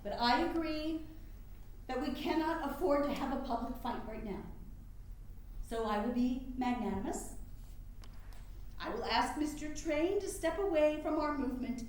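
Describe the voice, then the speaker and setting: A haughty nineteenth-century women's rights leader acted by a woman speaks in a high voice with formal, imperious emphasis. It is a staged play reading in a very echoey room with faint noise behind it. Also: expressive, moderate pace, long pauses, a little mellow. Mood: firm, grudging. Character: haughty, combative 19th-century suffragist leader, Elizabeth.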